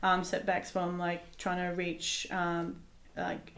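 A woman's voice: on the low side, monotone, slow, a bit bright. A woman, chatting casually in an interview and often hesitating as she searches for words. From Australia and Israel. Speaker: guest, visual artist. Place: living room in a home. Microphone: desktop microphone on table.

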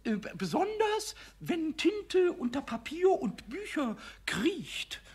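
Impish little male kobold: very high, childlike, wildly animated, stammering with mischief, children's audio drama. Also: slow pace, exaggerated voice. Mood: proud, mischievous. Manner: excited stammering, repeats words. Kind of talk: German children's radio play.

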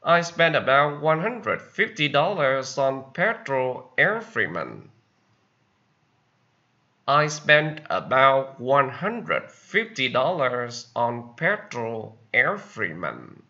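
A Vietnamese-accented man teaching English words, saying each sentence slowly and more than once. His voice is bright and swings up and down in pitch. The recording sounds dull and lo-fi. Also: very animated. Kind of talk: slow vocabulary lesson with repetition.

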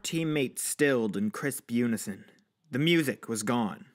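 A man's voice: mid-range voice, lively intonation, unhurried. A man narrating an audio story in a dramatic, scripted style. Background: music.

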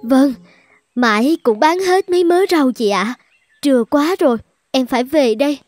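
High-voiced gentle young mother. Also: expressive, moderate pace, no echo, studio quality. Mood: weary. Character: gentle, self-sacrificing young peasant mother and wife. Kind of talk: Vietnamese cartoon voice acting. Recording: loud background music. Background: music.

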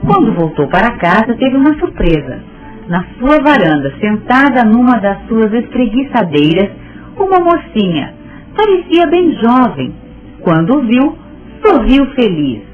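A woman's voice: a little high, very animated, a little slow. A woman giving scripted narration for a radio soap opera. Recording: narrow phone-like radio sound. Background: music.

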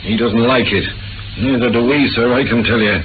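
An actor playing a deferential, uneasy butler in 1940s radio drama, respectful and subdued. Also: male voice, medium pitch, steady tone, moderate pace, noisy background, lightly acted. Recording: muffled vintage radio with music.

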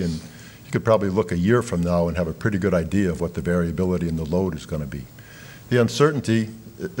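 A middle-aged man giving a keynote talk at a lectern in a large hall, speaking in a measured, explanatory way with fillers and restarts. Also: sits low, a little mellow. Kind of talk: conference keynote lecture.

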